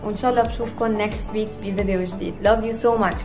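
A woman's voice: medium pitch, steady tone, fast. A woman in her thirties with a Lebanese Arabic accent, chatting casually and effusively to her vlog, mixing in English. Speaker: vlogger. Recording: music behind the voice.

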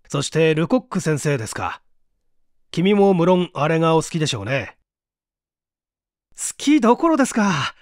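Guy acting out a polite eccentric young man, super eager, voice swinging up high, dry audiobook sound. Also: dry recording. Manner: polite, excitable, animated speech. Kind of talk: dramatized audiobook dialogue.

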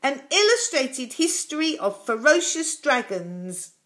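A woman's voice: high voice, big pitch swings, measured pace, a bit bright, pitched above the performer's own voice, full character voice. A woman voicing an eager, proud young boy in a children's storybook. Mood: pleased.

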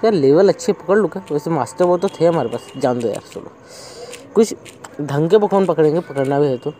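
A man's voice: a little high, expressive, a little fast, warm tone, a little hiss or hum. Chatty young man narrating gameplay. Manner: chatty, thinks aloud while playing. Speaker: gaming channel host. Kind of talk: casual let's-play commentary.

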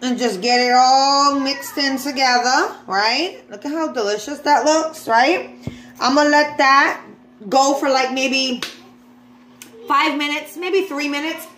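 Woman, high voice, slow unhurried pace, informal cooking vlogger, chatty, home kitchen. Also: a bit bright. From the Bronx, New York, USA. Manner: informal, addressing viewers as guys, unscripted. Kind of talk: casual cooking demonstration, chatty. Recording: echoey room, clear background noise.

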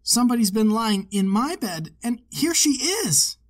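Teeny baby bear boy from a storybook, super high voice, upset and crying out. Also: lively intonation, normal speed. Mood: indignant. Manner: crying out in a little wee voice. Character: little wee bear cub, small upset boy.

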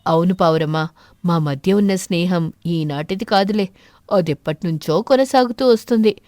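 A woman voicing an earnest, puzzled crow farmer in an animated fable, troubled and questioning. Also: fairly low pitch, moderate pace, no room sound, clean studio sound, pitched below the performer's own voice, close to an everyday voice.

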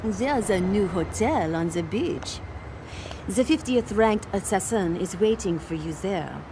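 A woman's voice: medium pitch, lively intonation, measured pace, background noise. A woman voicing a cool, sly blonde handler of an assassin contest in a video game cutscene. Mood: cool, teasing. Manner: coolly detached, sly.

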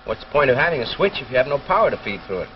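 An actor playing a patient, skeptical middle-aged father who questions and explains gently in a 1950s television drama. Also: mid-range voice, big pitch swings, very fast, slight background noise, close to an everyday voice. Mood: patient, challenging. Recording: dull 1950s television soundtrack.

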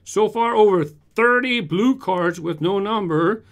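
A man's voice: sits high, unhurried. A man in his fifties from Southern California, chatting casually and animatedly as a host answering viewer comments in a home room, speaking into a desk microphone. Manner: animated, gestures with hands, shows toy cars. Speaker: toy car collector channel host. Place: home room with shelves of toy cars. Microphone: large desk condenser mic in front.

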